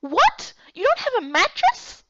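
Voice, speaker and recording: Squeaky, bubbly young game character. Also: female voice, huge pitch swings, sharp tone, no room sound, highs cut off, exaggerated voice. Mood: excited, concerned. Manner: bubbly, rapid questions. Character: excitable, chatty, kind-hearted young fantasy game character.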